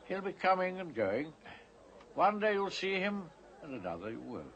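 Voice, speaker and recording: An actor playing a kindly, wise older figure in film dialogue, speaking in a soothing, unhurried way. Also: male voice, high-pitched, very animated, a bit bright, highs cut off, heavily acted. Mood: gentle, reassuring.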